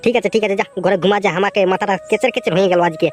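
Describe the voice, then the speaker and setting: A woman's voice: medium pitch, steady tone, rapid-fire, soft background music, close to an everyday voice. A woman voicing a grumbling, rambling village priest in a comic cartoon, repeating phrases in a Purulia Bengali accent. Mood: exasperated. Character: grumbling, hungry village priest, henpecked husband.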